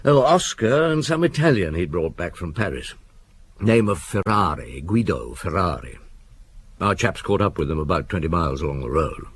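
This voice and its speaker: An actor playing a shrewd, world-weary police chief in a radio drama, husky and dryly understated. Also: male voice, deep voice, big pitch swings, normal speed, slight background noise. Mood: wry recounting. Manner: dry, understated, thoughtful.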